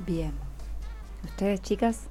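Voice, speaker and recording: A woman's voice: medium pitch, slow pace, dark tone, faint music behind. A woman with a Buenos Aires accent hosting a live radio show, chatting with guests and reading out listeners' greetings. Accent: Argentine, Buenos Aires. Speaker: radio host. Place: community radio studio. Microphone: studio microphone.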